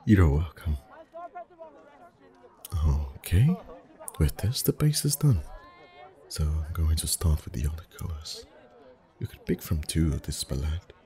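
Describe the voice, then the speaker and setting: A man playing a friendly, chatty festival face painter in an ASMR roleplay, soft-spoken, reassuring and gently flirtatious. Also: rumbling low, measured pace, long pauses. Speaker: voice actor.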